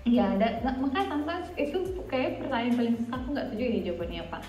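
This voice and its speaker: Wanita usia dua puluhan dari Indonesia dengan aksen Indonesia, mengobrol santai sebagai narasumber dan sering menyelipkan bahasa Inggris. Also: female voice, on the high side, very animated, rapid-fire. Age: 20s. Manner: chatty, mixes English into Indonesian. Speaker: interview guest, student living abroad. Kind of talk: casual conversational interview. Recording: roomy echo, music underneath. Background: background music.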